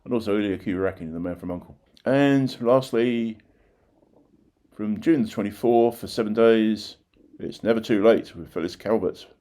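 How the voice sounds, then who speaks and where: A man's voice: on the low side, moderate pace, dark tone. An older man, presenting local history in informal narration with plain-spoken conversational asides. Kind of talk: informal narration of old newspaper clippings.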